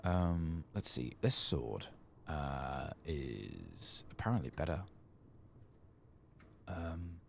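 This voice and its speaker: Very deep, hushed man narrating gameplay. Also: very animated, drawn-out words, gravelly, slight background noise. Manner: hushed, often slipping into whispers. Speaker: video game streamer. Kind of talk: soft-spoken ASMR gameplay commentary.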